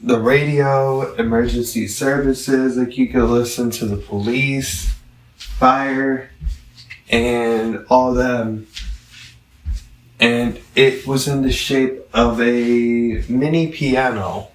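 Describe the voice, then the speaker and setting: Male vlogger, unhurried and halting, casual rambling review, recorded away from the mic in a roomy space. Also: medium pitch, fairly even intonation, a little hiss or hum. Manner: halting, digressive delivery with long pauses. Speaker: product reviewer. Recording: roomy echo, distant microphone.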